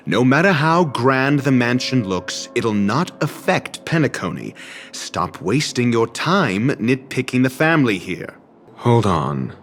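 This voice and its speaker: An actor playing a haughty, erudite scholar in a video game, speaking in ornate formal phrases with dry, condescending sarcasm. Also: male voice, mid-range voice, sing-song, measured pace, a bit bright. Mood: sarcastic, exasperated. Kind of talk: video game cutscene voice acting. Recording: background music under studio game dialogue.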